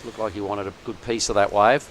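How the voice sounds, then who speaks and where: A man giving chatty, excitable live commentary on a surf contest. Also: fairly low pitch, fairly quick, slight background noise, poor audio.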